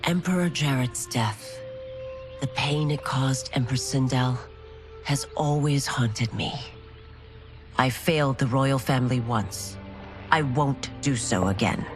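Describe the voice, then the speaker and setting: A woman's voice: rumbling low, slow. Stern, honourable warrior woman over music. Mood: solemn regret. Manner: solemn, resolute, formal. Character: stern, honourable woman warrior, former royal guard turned constable. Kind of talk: dramatic video game acting. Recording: music behind.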